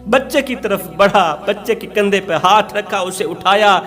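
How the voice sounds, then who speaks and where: High-pitched male preacher telling an emotional story. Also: normal speed, a bit bright, soft background music. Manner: dramatic, repetitive phrasing for emphasis.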